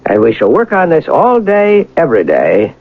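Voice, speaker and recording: Suave young gentleman robber, slightly husky. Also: a little high, huge pitch swings, heavily acted. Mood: confident, instructing. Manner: polished, formal, measured, with hesitant pauses. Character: wealthy, educated young gentleman turned suave bank robber. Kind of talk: radio drama acting and first-person narration. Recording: muffled vintage radio broadcast.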